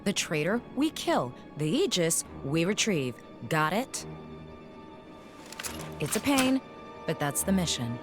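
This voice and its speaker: A woman's voice: on the low side, very animated, slow pace, long pauses. A woman playing a cold, contemptuous, menacing villainess in a game cutscene, with a bored, disdainful drawl. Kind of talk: video game cutscene acting. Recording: music behind the voice.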